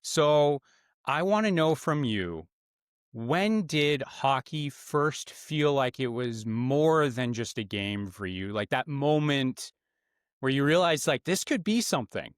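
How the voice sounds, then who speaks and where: A man's voice: mid-range voice, animated, slow pace, clear, resonant tone, dry recording, studio quality. Man hosting an interview. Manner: long rambling questions with fillers. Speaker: podcast host. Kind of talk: interview questions.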